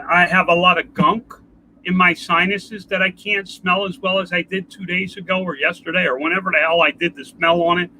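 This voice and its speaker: An older man with a congested nasal voice, talking in a rambling, halting way in a casual home video update. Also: high voice, bright, forceful tone, slight background noise, poor audio. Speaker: vlogger. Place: outdoors.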